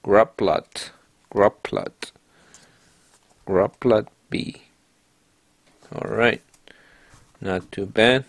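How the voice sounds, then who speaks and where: A man talks slowly and calmly to his viewers, reading off cards one by one as he opens packs. His voice sits low with a gravelly edge, recorded close and dry with no room sound. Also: no echo. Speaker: card-opening channel host. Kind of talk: casual pack-opening narration.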